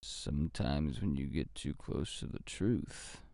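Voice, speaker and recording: An actor playing a weary hard-boiled private detective, narrating a noir audio drama in terse, brooding, deliberate phrases with a gravelly voice. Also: male voice, very deep voice, dark tone, no echo, studio quality, exaggerated voice. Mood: tense, brooding. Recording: music under the voice. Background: music.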